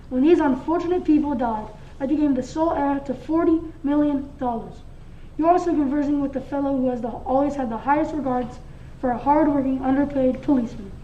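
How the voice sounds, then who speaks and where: A woman's voice: high voice, warm tone, natural voice. A woman playing a smug, oily male millionaire heir in a comic radio mystery play, speaking pompously and theatrically. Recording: echoey room, distant mic, muffled, faint noise.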